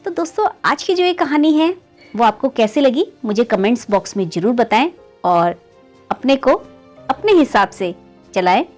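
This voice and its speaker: A woman's voice: expressive, normal speed, soft background music. Woman telling a moral story to friends. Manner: keeps calling listeners "friends", pitch rising on story beats. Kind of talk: conversational moral story narration.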